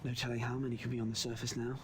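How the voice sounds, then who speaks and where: A man playing a quirky, quick-witted time traveller in an audio drama, flippant yet assertive. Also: medium pitch, little pitch movement, background noise, close to an everyday voice. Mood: explanatory, grave.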